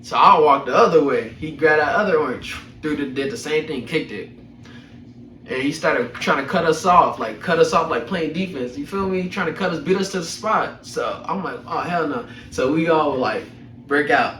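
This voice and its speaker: A man's voice: a little high, normal speed, fairly bright tone, a little hiss or hum. Young man, echoey room, distant mic, slangy casual storytelling, profane, chuckly. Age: young adult. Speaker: storyteller host of a personal podcast.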